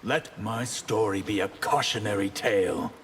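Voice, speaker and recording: A man's voice: lowish voice, moderate pace, a bit bright, natural voice. A man playing a grave, imperious mage who talks formally, in video game fight banter. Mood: haughty. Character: haughty disgraced male water mage, grave and commanding. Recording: reverberant hall with faint background noise.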